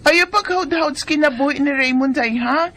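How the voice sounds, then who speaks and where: An actress with a Cebuano Filipino accent playing a brazen, mocking young mistress in a radio drama. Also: female voice, high-pitched, normal speed, fairly bright tone, slight background noise. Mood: defiant, mocking.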